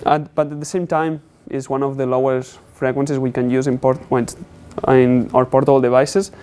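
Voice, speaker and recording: Young man giving a halting technical presentation. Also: mid-range voice, little pitch movement, darkish tone, clean tone. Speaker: student presenter.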